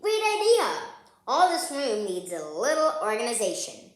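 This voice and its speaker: A woman's voice: on the high side, huge pitch swings, normal speed. Practical papa bear, read by a woman, echoey. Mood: encouraging. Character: practical, encouraging father bear in a storybook. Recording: echoey room.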